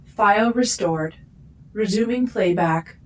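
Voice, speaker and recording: A woman voicing a female starship computer that makes flat, clipped mechanical announcements in a fan audio drama. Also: medium pitch, slow, close to an everyday voice, poor audio.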